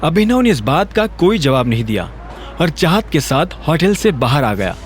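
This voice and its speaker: Male audio-series narrator, dramatic scripted delivery, fairly high voice with big pitch movement, music underneath. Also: very animated, moderate pace.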